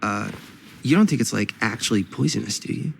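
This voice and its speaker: An actor playing a nervy, earnest young American brother in a video game drama, speaking casually and hesitantly, eager to please. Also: male voice, fairly low pitch, brisk, gravelly, slight background noise. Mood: uneasy.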